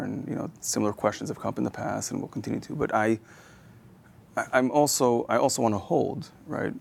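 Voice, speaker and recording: A man hosts a reflective podcast conversation, his voice heavily gravelly with vocal fry. He speaks in a fairly low register, circling his thoughts with fillers and restarts as he works toward a question. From Israel. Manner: halting, thinks aloud with many fillers and restarts. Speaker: podcast host.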